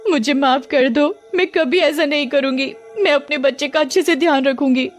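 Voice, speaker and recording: Woman acting a shrill, whining, high-pitched young wife. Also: moderate pace, close to an everyday voice. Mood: pleading. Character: careless, self-absorbed young wife and mother, shrieking, easily frightened.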